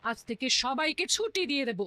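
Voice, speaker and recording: A high-pitched, imperious cartoon queen. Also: very animated, fairly quick, pushed and bright, heavily acted. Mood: bossy. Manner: bossy, emphatic, dramatic. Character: imperious, demanding young queen, quick to complain. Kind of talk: animated cartoon voice acting.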